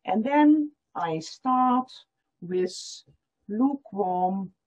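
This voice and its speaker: Patient woman demonstrating a craft on a video call; slow, expressive delivery, dull audio. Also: mid-range voice. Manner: explains step by step, repeating lines in German. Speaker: felting teacher. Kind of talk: online workshop demonstration.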